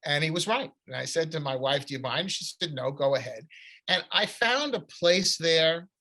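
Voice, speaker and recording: Middle-aged New man, author, bright voice, rambling storyteller. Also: on the high side, expressive, moderate pace. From United States. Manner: long rambling anecdotes with restarts. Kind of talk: conversational storytelling.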